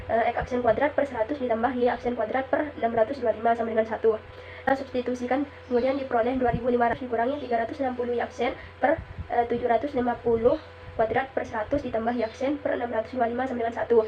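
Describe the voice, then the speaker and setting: A young woman from Indonesia reads out a math solution quickly, stopping on "eh" now and then. Her voice stays on a flat, narrow pitch. The recording sounds dull and lo-fi, with a faint hiss behind her. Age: young adult. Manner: steady reading with frequent "eh" fillers. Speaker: student presenter.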